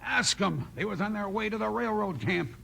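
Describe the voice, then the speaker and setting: A male actor playing a gruff, bossy, suspicious cowboy gunman with a Western accent in a 1950s radio drama. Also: high voice, expressive, moderate pace, a bit bright, full character voice. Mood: resentful. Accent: American Western. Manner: gruff, commanding. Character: hard-nosed, suspicious, bullying western gunman. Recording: noisy vintage 1950s radio recording.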